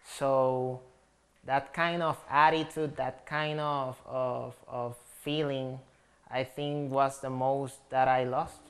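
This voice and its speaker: Slow-spoken young Puerto Rican man. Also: mid-range voice, level tone, fairly bright tone. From Puerto Rico. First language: Spanish. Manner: slow, searching for words.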